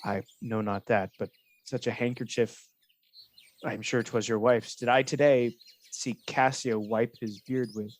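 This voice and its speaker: Male actor as scheming soldier, medium pitch, measured, insinuating, falsely honest, Shakespeare radio play. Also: steady tone, natural voice. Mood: coolly persuasive. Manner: insinuating, measured, feigned reluctance. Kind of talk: Shakespearean radio drama acting.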